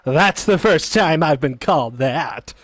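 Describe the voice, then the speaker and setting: A man plays a gloomy undertaker who speaks in a slow, mournful deadpan. His voice sits high and moves at an unhurried pace. The sound is dry and close, like old-time radio comedy. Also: dry recording. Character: gloomy punning male undertaker, soft-spoken. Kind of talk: vintage radio comedy acting.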